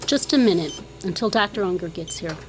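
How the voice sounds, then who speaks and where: A woman's voice: lowish voice, animated, fairly quick, noisy background, close to an everyday voice. A woman acting in a radio drama as a firm, official nurse guarding a patient's room. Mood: firm, wary.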